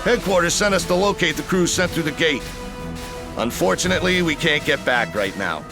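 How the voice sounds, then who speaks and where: A dry, sardonic soldier with a slightly high, gravelly voice. He talks like a confident major in a sci-fi game, informal and unimpressed, with music playing behind him. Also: lively intonation, normal speed. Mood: guarded, dry. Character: wry, confident military major, adult man.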